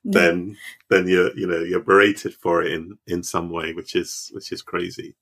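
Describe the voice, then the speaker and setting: A man's voice: low voice, flat delivery, measured pace, gravelly, a bit bright. A man hosting an interview, affirming his guest often and asking reflective follow-up questions. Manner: encouraging, affirming with frequent backchannels. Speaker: podcast host. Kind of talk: interview conversation.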